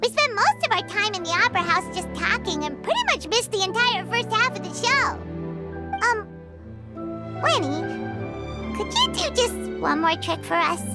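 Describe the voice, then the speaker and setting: A woman's voice: very high voice, very animated, moderate pace, full character voice. A woman voicing a tiny, bubbly and pushy female fairy companion in a video game, who talks of herself in the third person and pleads in a whiny way. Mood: eager. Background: game music.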